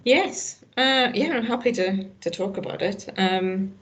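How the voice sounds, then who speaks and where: A woman's voice: mid-range voice, a little slow, fairly bright tone. A middle-aged woman from Northern Ireland with a Northern Irish accent, talking earnestly in a casual conversation, with lots of restarts. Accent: Northern Irish, slight Scottish. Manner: earnest, frequent "you know" and restarts. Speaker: hospital staff guest.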